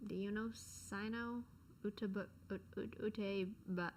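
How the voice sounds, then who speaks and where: A woman's voice: medium pitch, fairly even intonation, a little slow, a little mellow. A woman streaming a game with casual, slangy reaction commentary, muttering short responses to what she sees. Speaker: gaming streamer. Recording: noisy gameplay audio behind voice.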